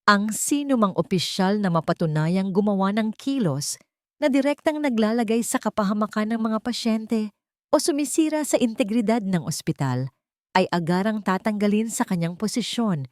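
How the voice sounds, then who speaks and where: A woman voicing a betrayed wife and heiress in a love-story narration, calm, formal and cutting. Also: mid-range voice, moderate pace, no room sound, studio quality, natural voice. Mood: cold authority. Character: betrayed wife and heiress, cold, regal, commanding.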